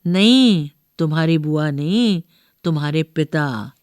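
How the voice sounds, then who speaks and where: A woman's voice: sits low, expressive, a little mellow, no room sound, clean studio sound. A woman voicing a rambling, doting old grandmother who keeps correcting herself in a storybook reading. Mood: reminiscing, muddled. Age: older. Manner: slow, rambling, self-correcting.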